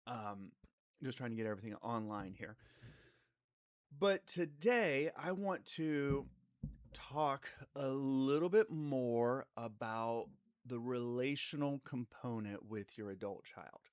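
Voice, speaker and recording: A man's voice: medium pitch, takes it slowly, no echo, clean studio sound. A middle-aged man with a congested voice from a cold, a family therapist hosting a casual live stream, meandering with plenty of fillers. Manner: relaxed and meandering, many fillers and restarts.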